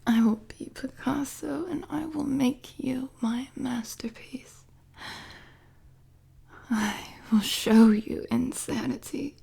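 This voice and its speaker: An obsessive, psychotic supervillainess for an intimate audio roleplay. Her voice is very breathy and husky, often close to a whisper, and drawn out extremely slowly. She sits a little high and teases gleefully as she menaces. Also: steady tone, warm tone, heavily acted. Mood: obsessive, seductive menace.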